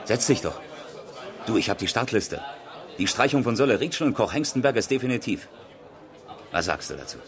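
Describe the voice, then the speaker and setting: An actor playing a breezy, pushy East German engineer and rally driver, chummy and sardonic, in a 1970s radio crime drama. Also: male voice, medium pitch, expressive, brisk, pauses often, close to an everyday voice. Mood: breezy, eager. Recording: faintly noisy old radio play recording.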